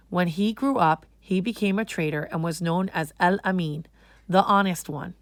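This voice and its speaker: A woman's voice: lowish voice, no echo. A woman reading a children's storybook aloud in a scripted narration style. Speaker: storybook reader.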